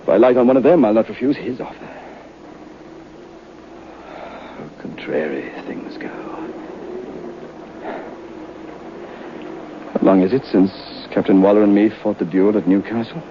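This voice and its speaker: A ruined gentleman master played by a male actor, gloomy and reckless, speaks in a low, brooding theatrical voice. The sound is a muffled vintage radio recording with clear background noise. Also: moderate pace, long pauses, natural voice. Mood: bitter, brooding. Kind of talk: old-time radio drama acting.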